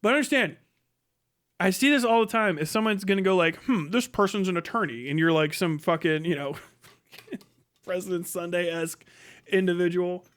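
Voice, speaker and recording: A man's voice: high voice, normal speed, fairly bright tone. A man giving casual livestream commentary in a blunt, profane, rambling way. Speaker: livestream host.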